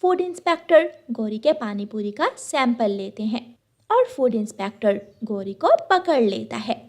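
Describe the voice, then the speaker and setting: Female narrator for kids' animated stories: high voice, expressive melody, scripted delivery. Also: moderate pace. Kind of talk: children's cartoon story narration.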